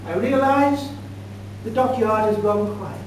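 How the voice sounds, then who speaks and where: An older man in his sixties delivers a humorous tall tale as a speech. He takes his time, with dramatic pauses and a mock-grand, deadpan air. The sound is a distant recording in a very reverberant room, with heavy noise and hum. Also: high-pitched. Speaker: speakers club contestant telling a tall tale. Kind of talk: theatrical comic storytelling speech to an audience. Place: indoor function room at a club meeting. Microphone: distant camera microphone.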